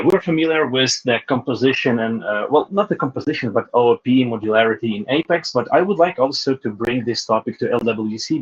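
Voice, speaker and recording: A man from Ukraine walks developers through a technical topic on a video call, with loose phrasing and frequent fillers. His voice has a slightly husky edge at middle pitch. The call audio is a little dull and muffled. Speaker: guest speaker, Salesforce developer. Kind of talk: technical presentation.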